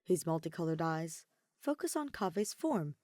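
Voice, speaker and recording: A woman reading a story aloud as narrator, in an expressive scripted style. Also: fairly low pitch, normal speed, no room sound, studio quality. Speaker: podfic narrator.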